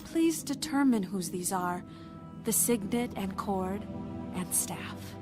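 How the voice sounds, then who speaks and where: A woman playing an accused young widow in a dramatized audio Bible, quiet and composed over music. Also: mid-range voice, expressive, slow. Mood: pleading, composed. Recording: music under the voice.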